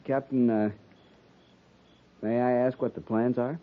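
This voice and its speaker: A man's voice: medium pitch, fairly even intonation, unhurried, natural voice. A man playing an earnest, respectful young cavalry lieutenant in a 1950s radio drama, formal and deferential in his address. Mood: respectful, dutiful. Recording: muffled, noisy 1950s radio recording.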